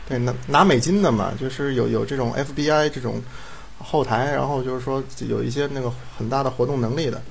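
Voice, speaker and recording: A man's voice: mid-range voice, fairly quick, vocal fry, slight background noise. A man, a drama director, explaining casually in a rambling way, repeating his phrases. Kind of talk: casual explanation in a group chat.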